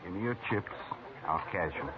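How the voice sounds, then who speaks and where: A smooth, gracious gambler. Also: male voice, deep voice, lively intonation, moderate pace. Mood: easygoing. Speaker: card dealer. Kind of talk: 1950s radio drama acting. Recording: scratchy, tinny old radio broadcast.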